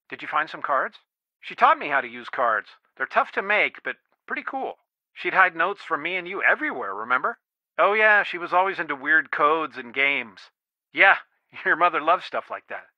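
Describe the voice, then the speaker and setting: An actor playing a protective, loving, middle-aged father in a video game, earnest and measured. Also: fairly high pitch, sharp tone, dry recording, lo-fi sound. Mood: warm, reminiscing. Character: protective, worn, loving father of a young detective.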